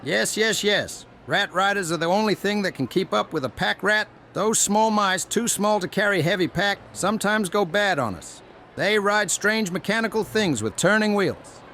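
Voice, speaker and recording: An actor playing a kindly old fantasy elder in an audio story, creaky and folksy, rambling reassuringly. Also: male voice, high-pitched, expressive, normal speed, pushed and bright, slight background noise, full character voice. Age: older. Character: kindly, chatty old gnome-folk elder who loves mushrooms.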